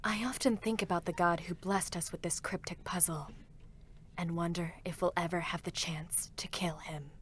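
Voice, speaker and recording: A woman playing a stoic, formal female combat android who hides deep anguish, in a dramatic game reading. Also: steady tone, moderate pace, background noise, close to an everyday voice. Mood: solemn, brooding. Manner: solemn, controlled, formal.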